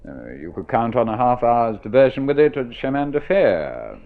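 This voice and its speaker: An actor playing a dry, superior forty-year-old gentleman of the club in an old radio drama, sardonic and measured, with old-fashioned formality. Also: medium pitch, sing-song. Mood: sardonic, musing. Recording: thin, phone-like vintage radio recording.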